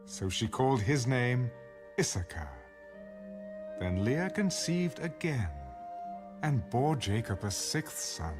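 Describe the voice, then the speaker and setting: A man narrating scripture from a script in a measured, solemn storytelling manner, as in a dramatized audio Bible. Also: mid-range voice, sing-song. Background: music.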